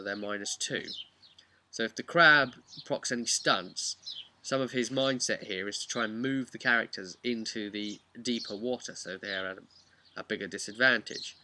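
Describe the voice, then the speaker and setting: A man, a game master, casually recapping a tabletop session in an animated, rambling, emphatic way. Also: mid-range voice, brisk. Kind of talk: casual unscripted storytelling vlog.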